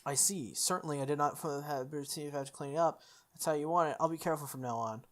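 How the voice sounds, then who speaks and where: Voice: mid-range voice, fast, natural voice. Performer voicing a composed, dry male servant. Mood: aloof. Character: unflappable, polite but dry male servant warrior. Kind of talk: visual novel read-aloud. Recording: music behind the voice.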